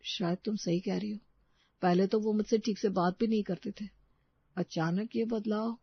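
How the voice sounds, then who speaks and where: A woman playing a naive, gentle young male student in a cartoon story, hesitant, earnest and deferential. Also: mid-range voice, fairly even intonation, moderate pace, slightly rough, dry recording, dull audio.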